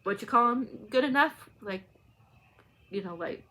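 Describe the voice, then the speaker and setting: A woman's voice: a little high, expressive, a little slow, sharp tone. A woman talking casually to viewers in a rambling, informal book vlog, often getting sidetracked. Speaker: book channel vlogger.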